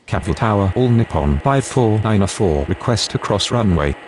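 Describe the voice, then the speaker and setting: A man's voice: fairly low pitch, animated, moderate pace. A man speaking clipped, scripted aviation radio phraseology in an air traffic control game.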